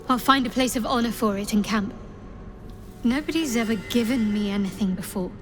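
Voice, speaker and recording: An actress voices a young female cleric of a dark goddess, guarded yet earnest. Her voice sits fairly high and her phrasing is measured and formal. She sounds like fantasy game dialogue, set over clearly audible music. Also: lightly acted. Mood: touched, grateful. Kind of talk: video game character dialogue.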